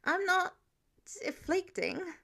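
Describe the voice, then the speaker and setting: Woman voicing a high-pitched, polite, nervous young man. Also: big pitch swings, slow pace, creaky, fairly bright tone, full character voice. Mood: careful, evasive. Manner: polite, hesitant, feigning confusion. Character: young man, polite, nervous, evasive secret superhero feigning innocence. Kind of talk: audiobook character dialogue.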